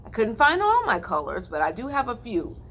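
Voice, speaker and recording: A woman children's librarian hosting a virtual storytime, reading and chatting in a gentle, encouraging teacher tone. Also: on the high side, wildly swooping pitch, fairly quick, slightly rough.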